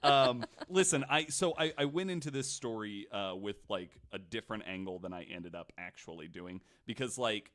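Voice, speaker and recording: Low-voiced man, casual podcast storyteller. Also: moderate pace, hardly any pauses, fairly bright tone. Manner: informal, hedging, frequent "like". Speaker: podcast host telling a true story. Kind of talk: casual storytelling among friends.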